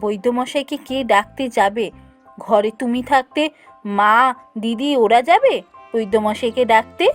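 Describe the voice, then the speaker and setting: A woman's voice: fairly high pitch, moderate pace, soft background music, close to an everyday voice. A woman voicing a caring, insistent young village wife in a cartoon, earnest and pleading. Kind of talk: cartoon voice acting.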